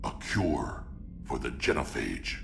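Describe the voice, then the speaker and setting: An actor playing a proud, hulking male alien warlord in a video game, blunt and defiant, with a gravelly growl. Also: extremely low pitch, expressive, takes it slowly, background noise, exaggerated voice.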